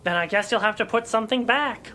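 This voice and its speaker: A woman's voice: fairly high pitch, quick pace, pushed and bright, a little hiss or hum. A woman playing a pompous, pedantic male collectibles seller who is grudging and indignant, in a comedic audio drama. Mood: officious.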